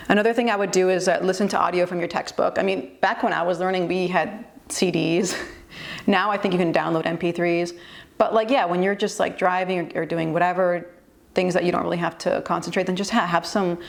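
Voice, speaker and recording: Young woman vlogger, native English speaker, candid and chatty; slightly low voice with vocal fry. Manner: chatty, candid, laughs easily, many fillers. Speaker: vlogger and language tutor. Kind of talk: casual advice vlog.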